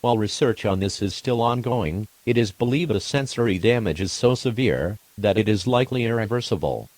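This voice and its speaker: Guy w a fairly low voice doing a formal emergency alert announcement, measured n impersonal, really dry sound. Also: animated, darkish tone, no echo. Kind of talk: scripted emergency alert narration.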